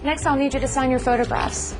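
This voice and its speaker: The polite, high-voiced government officer you would meet across an interview desk in an instructional film, working formally through procedure. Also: female voice, steady tone, fast, music in the background. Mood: businesslike. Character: courteous, businesslike female immigration officer. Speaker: actor playing an immigration officer. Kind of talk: scripted educational dramatization.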